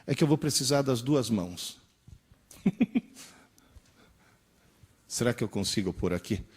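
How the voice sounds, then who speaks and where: A man in his sixties from Brazil giving a lively lecture to an audience, asking rhetorical questions and acting out little dialogues. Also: medium pitch, unhurried, husky. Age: 60s.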